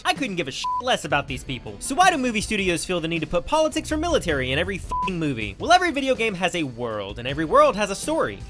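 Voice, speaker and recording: Lively, fast-talking video essay host. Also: mid-range voice. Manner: sarcastic, exclamatory, casually profane. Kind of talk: scripted comedic video essay narration.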